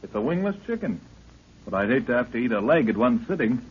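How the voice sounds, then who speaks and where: An actor playing an eager, respectful young adventurer, earnest and easily astonished, in a 1930s radio serial. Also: male voice, mid-range voice, sing-song, fast talker, natural voice. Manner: deferential, earnest exclamations. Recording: noisy, dull 1930s radio recording.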